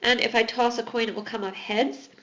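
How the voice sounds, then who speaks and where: A woman's voice: on the high side, steady tone, brisk, a bit bright, muffled recording. Patient teacher woman losing her voice. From Alberta, Canada. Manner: patient, explanatory. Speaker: math teacher. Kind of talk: recorded classroom lesson.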